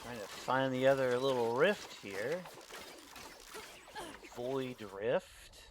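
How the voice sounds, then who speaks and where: A man streaming a game, chatting in a relaxed, rambling way. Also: mid-range voice, slow, noisy background. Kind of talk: casual commentary while gaming.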